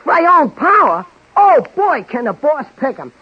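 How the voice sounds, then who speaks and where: An actor playing an eager, naive young studio underling, gushing and slangy, in 1930s radio comedy. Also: male voice, extremely high pitch, very animated, a little slow, heavily acted. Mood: awed, excitable. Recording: dull 1930s radio recording.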